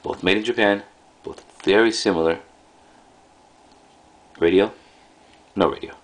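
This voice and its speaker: A man casually showing items as a video host, speaking in halting, offhand short phrases. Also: on the low side. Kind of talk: casual product showcase. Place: indoors in a room at home. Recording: clearly noisy home recording.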